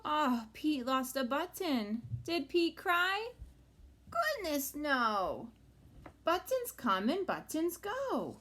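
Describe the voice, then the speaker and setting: Woman, high voice, very unhurried pace, children's story read-aloud, sing-song and expressive, teacher addressing young kids. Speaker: kindergarten teacher reading aloud.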